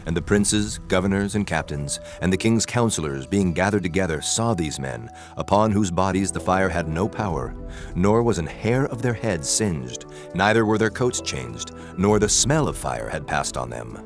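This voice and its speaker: Very deep-voiced solemn male narrator. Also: expressive. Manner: measured, solemn reading. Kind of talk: dramatized scripture narration. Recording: clear music bed behind.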